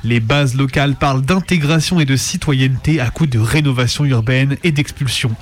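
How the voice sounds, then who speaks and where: A man's voice: mid-range voice, normal speed. A man reading scripted narration as a radio host. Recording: music behind the voice. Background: music bed.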